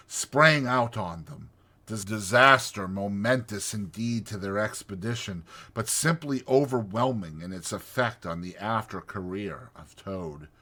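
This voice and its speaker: The man you would hear on a dramatized audiobook of an old children's classic, telling the tale theatrically in a lowish, keen-edged voice. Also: fairly bright tone. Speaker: audiobook narrator. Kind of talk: dramatized storybook narration.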